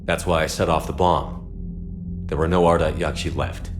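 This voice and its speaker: An actor playing a firm military commander in a video game, speaking in a direct, commanding way. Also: male voice, very deep voice, fairly even intonation, a little slow, music in the background. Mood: calm, firm. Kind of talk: video game cinematic acting.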